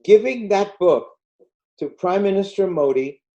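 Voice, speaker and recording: An older man from India giving a measured, storytelling talk over a video call. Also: high-pitched, lively intonation, mellow, dull audio. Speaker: guest speaker. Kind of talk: prepared talk with slides.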